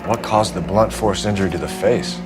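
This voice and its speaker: An actor playing an earnest, by-the-book young police detective in a noir game, clipped and authoritative. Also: male voice, on the low side, brisk, over music, lightly acted. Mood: brisk questioning. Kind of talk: video game dialogue acting, 1940s noir.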